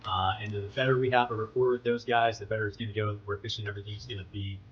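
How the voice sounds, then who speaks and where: Low, even-toned man, slightly muffled. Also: rapid-fire, a little hiss or hum. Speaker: military pilot. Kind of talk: interview account with military jargon.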